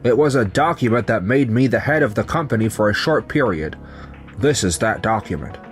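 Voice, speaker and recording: A man's voice: lowish voice, lively intonation, brisk, lightly acted. A man voicing young company men in a manga dub, with narration, over background music. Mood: smug, businesslike. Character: betrayed young company founder, calm and resigned, and his coworkers. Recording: music under the voice.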